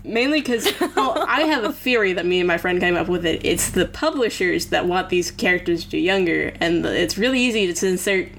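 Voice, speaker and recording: A woman's voice: lowish voice, lively intonation, brisk, creaky, hardly any pauses, fairly bright tone, a little hiss or hum. Chatty young woman full of "like"s. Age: 20s. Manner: chatty, filler-heavy, says "like" often. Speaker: podcast co-host. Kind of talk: casual podcast chat.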